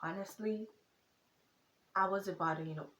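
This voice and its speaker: A woman's voice: medium pitch, steady tone, moderate pace, a bit bright, roomy sound. A teenage girl from Nigeria with a Nigerian accent tinged with British and American, speaking earnestly and emphatically in a casual vlog. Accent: Nigerian, with some British and American. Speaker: content creator.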